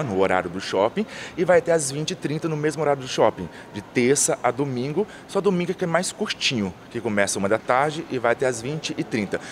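Event coordinator, thirties man, mid-pitched, outdoor interview with faint traffic behind. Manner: fluent, lists items, frequent restarts. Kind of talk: on-camera interview. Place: outdoors by a painted mural wall. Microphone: handheld reporter mic.

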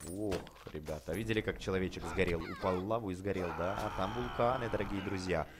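A man giving excited, chatty game commentary for children, exclaiming, repeating words and addressing his viewers. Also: low-pitched, quick pace, noisy background. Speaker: gaming channel host.

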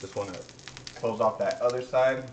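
Casual man cooking in an echoey kitchen. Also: medium pitch. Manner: informal, halting, thinking aloud. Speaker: host of a home cooking livestream. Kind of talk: casual chat while cooking. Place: home kitchen, indoors. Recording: echoey kitchen, noisy with sizzling pan.

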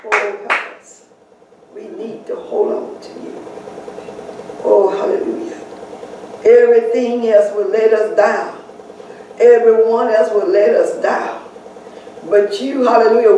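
A woman leading a fervent spoken prayer, saying hallelujah between phrases and sometimes rising to shouts. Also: a little high, a little hiss or hum. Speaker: prayer leader. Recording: very echoey room, distant mic, faint ambience.

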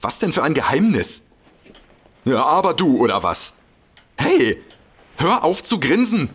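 An actor playing a smug, bumbling amateur detective in a comedic audio drama, theatrical and easily exasperated. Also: male voice, a little high, sing-song, measured pace, fairly bright tone, husky, exaggerated voice. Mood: indignant.

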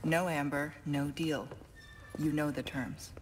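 A woman's voice: low voice, steady tone, slow, slight background noise, rough recording. A woman playing a guarded, composed spy in her thirties in a video game, speaking in a clipped, aloof way. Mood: cool. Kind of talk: cinematic video game acting. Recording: clear game ambience behind voice.

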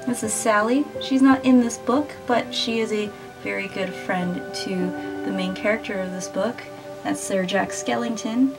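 A woman's voice: medium pitch, fairly even intonation, normal speed, loud music behind the voice, rough recording. A woman in her twenties doing a casual children's picture-book read-aloud in a small room. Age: 20s.

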